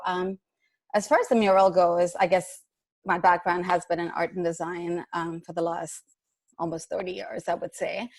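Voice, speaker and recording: A woman's voice: fairly low pitch, no room sound. A woman from India, an artist introducing herself on a panel call, light and hesitant with a laugh now and then.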